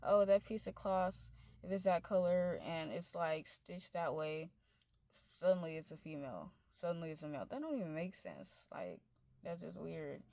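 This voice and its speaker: Gravelly deep-voiced woman rambling. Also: lively intonation, moderate pace, no room sound. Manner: rambling, fills every phrase with "like" and "you know"; pitch and loudness wander up and down. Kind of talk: casual spoken monologue.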